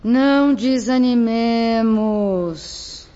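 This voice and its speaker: A woman acts an ailing old lady in a radio serial, resigned and kind. Her delivery is gentle and very slow. The recording is dull. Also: on the high side. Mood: consoling, resigned. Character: kindly, resigned, sickly old woman with generous heart. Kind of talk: radio drama acting.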